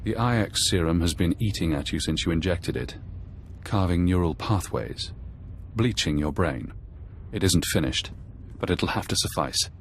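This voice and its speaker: An actor playing a cold, urgent mentor in a video game, giving clipped commands. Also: male voice, sits low, animated, moderate pace, a little hiss or hum. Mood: cold, commanding. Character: cold, urgent male mentor reprogramming an agent's mind.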